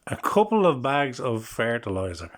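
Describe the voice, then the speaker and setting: A man's voice: medium pitch, very animated, moderate pace. Middle-aged guy doing a jokey language lesson, dry humour, chuckles at himself. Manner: dry, joking, laughs at his own lines. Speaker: channel host teaching Irish. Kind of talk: humorous language lesson vlog.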